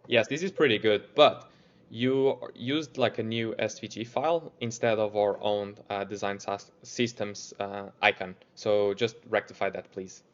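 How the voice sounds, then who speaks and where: A man with a Portuguese accent and a somewhat low voice presents a casual software tutorial. He talks informally as a developer showing his screen, hesitating and padding his sentences with filler words. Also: steady tone, a little slow, lo-fi sound.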